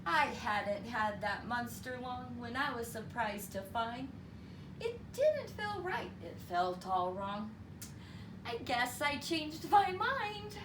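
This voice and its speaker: A woman reading a story aloud to children in an expressive, deliberate, teacherly way. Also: on the high side. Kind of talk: expressive read-aloud storytelling with counting lesson. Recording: roomy, distant mic with clear background noise.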